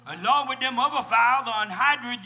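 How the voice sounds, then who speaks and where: A man's voice: very high-pitched, fairly quick, bright, forceful tone, full character voice. A man voicing a goofy, folksy cartoon narrator, rambling and amused, in a live comedic read.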